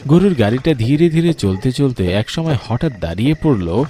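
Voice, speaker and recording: A man's voice: medium pitch, lively intonation, normal speed, mellow. A man reads a scripted ghost story as its narrator.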